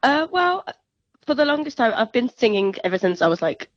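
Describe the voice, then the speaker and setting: A woman's voice: fairly high pitch, big pitch swings, brisk, dry recording. A young woman, a singer-songwriter, chatting casually and reflectively as a guest on a phone interview. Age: young adult. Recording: dull, lo-fi phone interview line.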